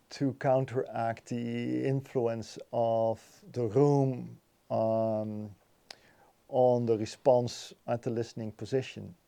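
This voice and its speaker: A man giving a technical explanation as an interview guest, haltingly, often repeating words as he thinks. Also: medium pitch, vocal fry, warm tone. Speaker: interview guest, loudspeaker designer.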